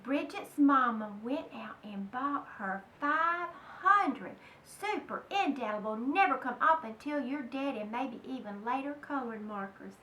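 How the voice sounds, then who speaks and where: A woman's voice: sits high, pushed and bright. A woman reading a children's storybook aloud expressively, speaking directly to young listeners. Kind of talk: children's read-aloud narration. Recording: roomy, distant mic, faint noise.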